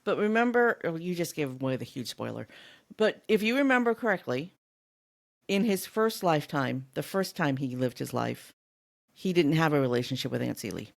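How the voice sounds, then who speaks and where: A woman's voice: low-pitched, lively intonation, moderate pace, vocal fry. Casual woman co-hosting a book podcast. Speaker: podcast co-host.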